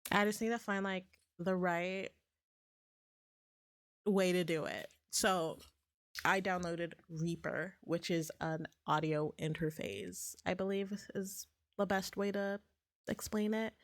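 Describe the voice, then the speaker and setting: A woman streamer in casual, rambling chat, her voice a bit raspy as if she is getting sick, with lots of fillers and restarts. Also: fairly low pitch, steady tone, takes it slowly, no room sound, studio quality. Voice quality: slightly raspy.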